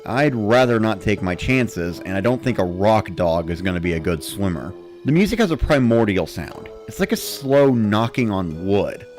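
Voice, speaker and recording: A man's voice: lowish voice, big pitch swings, moderate pace, hardly any pauses, a little mellow, soft background music. A man reading a first-person story as a dramatic narrator, in the style of a gamer recounting play.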